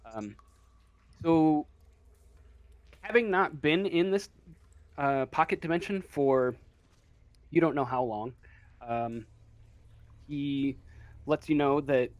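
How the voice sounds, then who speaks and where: A man's voice: on the high side, slow, pauses often, dry recording. A man improvising narration as game master of a tabletop game, hesitant and often searching for words.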